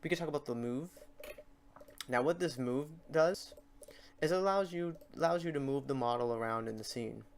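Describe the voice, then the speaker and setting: Man, mid-pitched voice, informal tutorial host, unscripted step-by-step narration, talks to himself. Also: normal speed, slight background noise. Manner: informal, talks to himself while clicking through steps. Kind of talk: casual unscripted screen-recorded tutorial narration.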